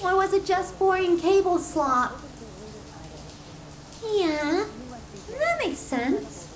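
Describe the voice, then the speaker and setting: A woman's voice: very high voice, expressive, slow pace, exaggerated voice. A woman playing a possessive, sadistic yandere girlfriend in an ASMR roleplay, doting and cutesy with theatrical affection. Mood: casual, sweet. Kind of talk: ASMR roleplay, scripted monologue to the listener.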